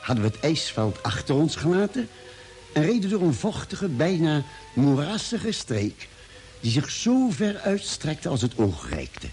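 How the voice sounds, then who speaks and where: An actor playing a thoughtful space expedition doctor in a radio drama, telling the story in a measured, dramatic way. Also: male voice, on the high side, wildly swooping pitch, a little mellow, full character voice. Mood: solemn, suspenseful. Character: thoughtful male doctor narrating a Mars expedition. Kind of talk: radio drama narration and dialogue. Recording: old radio drama, music behind.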